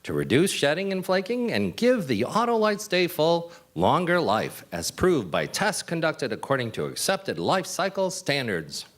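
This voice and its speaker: A man playing an old-time radio announcer, giving a polished sales pitch in a 1950s-style commercial. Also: huge pitch swings, moderate pace, few pauses. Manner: polished, smooth sales patter.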